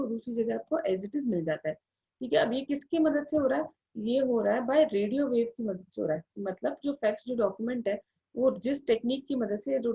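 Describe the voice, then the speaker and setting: A woman's voice: fairly high pitch, gravelly, slightly rough. An Indian woman giving an explanatory lecture, going step by step with frequent check-in tags, in a dull lo-fi recording. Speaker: engineering lecturer. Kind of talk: explanatory classroom lecture mixing Hindi with English terms.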